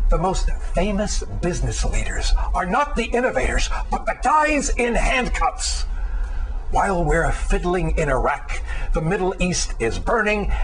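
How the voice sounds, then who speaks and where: A man's voice: high voice, heavily acted, poor audio. A man in his sixties playing a blunt, exasperated old businessman in an emphatic dramatic monologue. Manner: emphatic, exasperated, forceful delivery with drawn-out phrases. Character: outraged, blunt old businessman of eighty-two ranting patriotically. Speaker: performer of a dramatic reading. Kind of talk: dramatic monologue, political rant.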